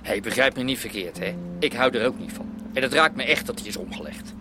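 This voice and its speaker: An actor playing a slick, self-serving Rotterdam gangster in a radio drama, talking in an argumentative, businesslike way. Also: male voice, mid-range voice, expressive, brisk, background noise, lightly acted. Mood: placating. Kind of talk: naturalistic radio drama dialogue.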